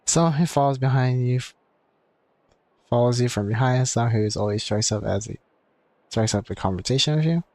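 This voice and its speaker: A woman with an extremely low voice for her sex reacts casually as she streams a game. Her talk is informal and interjecting. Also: a little fast, long pauses, darkish tone, no echo. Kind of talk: casual live gameplay reaction.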